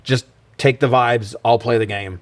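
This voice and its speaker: A man's voice: mid-range voice, steady tone, a little slow, dry recording. A man co-hosting a film review podcast in casual, profane chat, recapping and commenting with wry informality. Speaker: podcast co-host.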